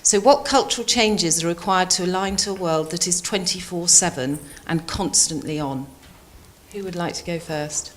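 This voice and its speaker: A woman moderating a conference panel, brisk and businesslike. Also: fairly low pitch.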